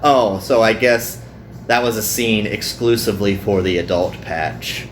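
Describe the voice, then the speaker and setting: A man's voice: on the low side, unhurried, a little hiss or hum. A man hosting a visual novel playthrough with casual, jokey commentary, often interrupting himself. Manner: jokey, animated, self-interrupting. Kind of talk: casual reading and joking commentary.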